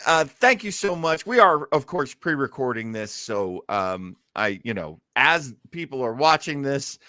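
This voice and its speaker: A man's voice: medium pitch, sharp tone, no room sound, studio quality. A man hosting a show in a casual, unscripted way, shifting between theatrical announcing and rambling chat. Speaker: show host.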